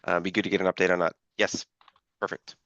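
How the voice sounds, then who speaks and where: A man's voice: sits low, little pitch movement, fairly bright tone, dry recording. Man with an allergy-scratchy voice. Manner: halting, many fillers. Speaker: meeting chair. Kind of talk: online working-group call. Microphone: video call. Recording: dull lo-fi call audio.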